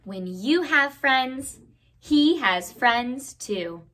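A woman voicing a wise old male beaver, gently explaining things in a measured storybook manner. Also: high-pitched, lively intonation, full character voice. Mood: gentle, wise. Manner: measured, instructive storybook delivery.

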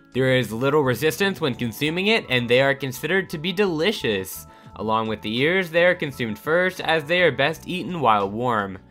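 A man reading a scripted countdown voice-over with upbeat delivery over soft background music. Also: a little high. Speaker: narrator of a countdown channel.

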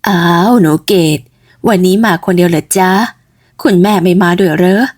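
Woman doing a lower-toned middle-aged village mother. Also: steady tone, moderate pace, darkish tone, lightly acted. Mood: friendly, curious. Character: middle-aged village mother, gentle, chatty, a little fretful. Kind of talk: storybook character voice.

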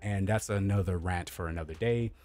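A man with a deep voice giving a blunt, opinionated gear review at home, close to a studio mic, repeating himself and swearing casually. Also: brisk, a little mellow. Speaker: tech content creator. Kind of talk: opinionated product review rant. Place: home streaming room with LED lights. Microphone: studio mic on boom arm, close.

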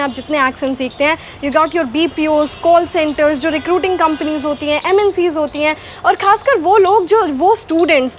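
A young woman from Haryana with an Indian accent, answering interview questions in a relaxed, explanatory way, mixing in English phrases. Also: extremely high pitch, a little hiss or hum. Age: young adult. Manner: mixes Hindi and English, explanatory, gestures with hands. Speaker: guest, English accent coach. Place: outdoor garden courtyard under shade net. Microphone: clip-on lapel mic.

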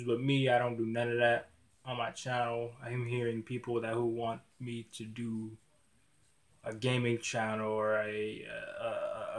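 A young man in his early twenties talks straight to his webcam in a small dim room. His voice sits at a middling pitch. He rambles in easy slang, tripping over and repeating his words as he goes. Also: darkish tone. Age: 20s. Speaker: YouTuber. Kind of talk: casual vlog talk to camera.

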